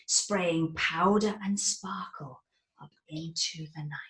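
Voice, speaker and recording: A woman reading her own rhyming children's book aloud in a sing-song, expressive way, with dramatic whispers and gasps. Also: deep voice, slow pace, reverberant, a little hiss or hum.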